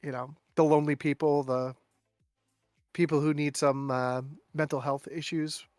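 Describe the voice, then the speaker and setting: A man's voice: fairly high pitch, flat delivery, moderate pace, a little mellow, no echo, clean studio sound, faint music behind. A man chatting as a guest on a casual podcast, telling stories and mimicking people in raised voices.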